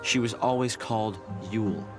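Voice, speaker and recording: An actor playing a thoughtful young research director in a video game, explaining things in a measured, earnest way. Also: male voice, fairly low pitch, close to an everyday voice. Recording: loud orchestral music behind. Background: game music.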